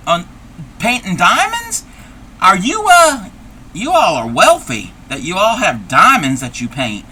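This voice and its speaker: A man putting on a forgetful old grandma who talks slowly and drifts off mid-sentence, circling back to the same half-finished question. Her voice sits a little high, with a bright, sharp edge. She chats with viewers as she crafts, never quite finding the thread. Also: a little hiss or hum, full character voice. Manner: rambling, loses her train of thought, repeats herself; reads viewer chat aloud and greets viewers. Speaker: streamer. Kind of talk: livestream crafting chat in character.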